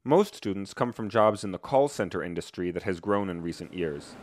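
A man reporting, reading a scripted news narration with measured, even delivery. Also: low voice, no echo, studio quality.

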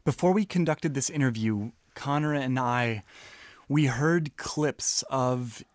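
A man's voice: medium pitch, takes it slowly, dry recording, studio quality. A man co-hosting a podcast, speaking in a reflective, casual way and wrapping up the segment. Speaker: podcast co-host.

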